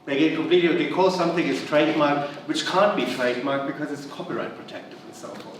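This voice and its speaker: A man's voice: on the high side, quick pace. A middle-aged man with a German accent gives a chatty conference lecture, full of fillers and restarts. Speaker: university lecturer. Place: large conference hall. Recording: echoey hall, voice from far off, background noise.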